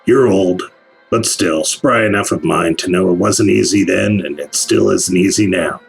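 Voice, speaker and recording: A sly, mocking figure in a radio play. His voice is very deep and creaky, theatrical and insinuating, with music behind. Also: normal speed. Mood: taunting. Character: sly, taunting, mysterious narrator goading an old professor. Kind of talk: radio theater, dramatic monologue.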